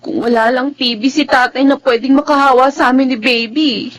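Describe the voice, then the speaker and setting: A woman's voice: sits high, steady tone, brisk, no room sound, natural voice. A woman playing a long-suffering, devoted young wife in a radio drama, with a plaintive, pleading delivery. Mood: plaintive, hurt. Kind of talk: radio drama acting with first-person narration.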